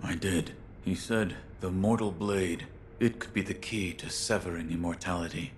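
An actor playing a stoic, loyal shinobi warrior in a video game, speaking in terse, grave, restrained phrases. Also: male voice, low-pitched, normal speed, a little hiss or hum, close to an everyday voice. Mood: respectful, grave.

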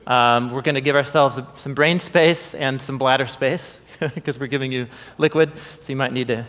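Casual, halting man on stage. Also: mid-range voice, steady tone, fairly bright tone, big-room sound. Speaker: presenter. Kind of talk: informal live event introduction. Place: theatre stage, indoors.

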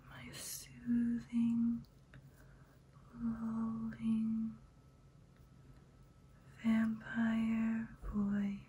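Woman as a slow, whispering vampire queen. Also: fairly high pitch, a little hiss or hum, poor audio. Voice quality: breathy, whispery. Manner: slow, lulling, calming. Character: soothing, hypnotic female vampire queen. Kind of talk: ASMR roleplay.

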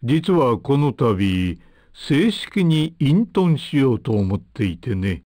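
A man voicing a dignified old warrior with a formal, measured, courteous manner, in anime fighting game style. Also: mid-range voice, sing-song, darkish tone, dry recording, studio quality. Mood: calm, dignified.

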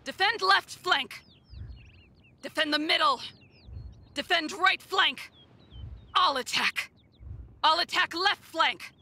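A woman voicing a haughty, menacing sorceress in a video game, theatrical and breathy. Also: extremely high pitch, slow, long pauses, bright, forceful tone, full character voice. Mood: urgent commanding. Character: sinister, haughty dark sorceress with godlike contempt. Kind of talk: video game character voice lines.

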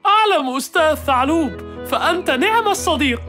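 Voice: high-pitched, lively intonation, fairly quick, over music. A woman voicing a worried male carpenter in a cartoon, who speaks politely and apologetically.

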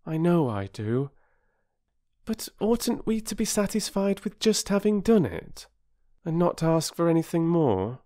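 A narrator voicing an earnest, gentle older girl with grown-up airs, in a children's storybook. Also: medium pitch, very animated, a little slow, pitched above the performer's own voice. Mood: doubtful.

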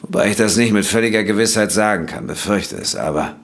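An actor playing a troubled, earnest doctor in a German audio drama, speaking formally and carefully, a little haltingly. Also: male voice, on the low side, close to an everyday voice. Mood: grave, explaining. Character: troubled male doctor, earnest, indignant when accused. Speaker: doctor in a detective story.

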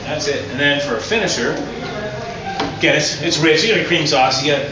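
A middle-aged chef walks through a recipe with easy enthusiasm, often restarting his sentences. His voice sits a little high. It comes from a distance, echoing and dull, over noisy outdoor air. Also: a little fast. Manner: relaxed, enthusiastic explaining with restarts. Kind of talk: cooking demonstration. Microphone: far camera.

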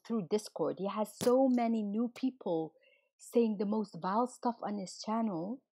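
A hoarse woman who is losing her voice hosts a livestream and rants indignantly, firing rhetorical questions at her viewers. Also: medium pitch, darkish tone. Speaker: livestream host. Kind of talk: heated casual livestream rant.

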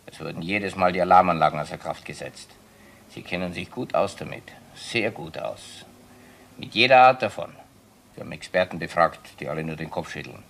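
Experienced cop in a radio crime drama, low bright voice, clipped and frank. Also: male voice, fairly quick, creaky. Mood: businesslike. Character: frank, experienced male burglary investigator. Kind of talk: German crime radio play acting. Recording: faint noise and clicks, older radio play.

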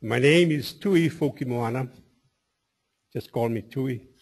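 A man from Tonga with a Tongan accent and a husky voice, giving a public presentation. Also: mid-range voice, slow. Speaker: presenter at a community meeting.

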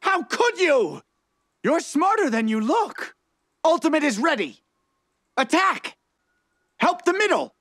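A mischievous, excitable little fox inventor from a video game. The voice swoops widely in pitch and is recorded close and dry. Each short line is exclaimed theatrically, with drawn-out, unhurried delivery. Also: male voice, dry recording, exaggerated voice. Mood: theatrical alarm. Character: manic little fox mad scientist, male, mischievous and excitable. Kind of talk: video game character voice lines.

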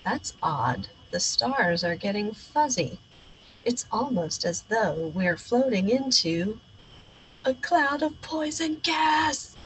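A woman's voice: a little high, sing-song, slow pace, slight background noise, low-quality recording. A woman voicing a brave, dramatic boy who plays a space captain in a storybook. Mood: alarmed. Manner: dramatic, exclamatory. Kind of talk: storybook read-aloud character.

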